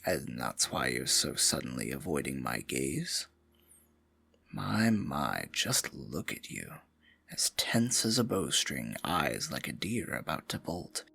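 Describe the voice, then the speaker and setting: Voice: very deep voice, very animated, slow pace, creaky, pushed and bright, husky, no echo, full character voice. An actor voicing a scheming evil royal advisor with magic, speaking in a silky, mocking, condescending way for an ASMR fantasy roleplay. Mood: mocking suspicion. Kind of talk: ASMR fantasy roleplay, intimate theatrical monologue.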